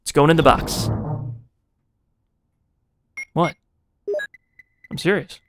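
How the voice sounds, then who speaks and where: A man's voice: on the high side, slow pace, soft background music. A man narrating a video game playthrough in a dramatized, scripted way, with casual, profane, jokey asides to the viewer. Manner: theatrical, self-interrupting asides to the viewer.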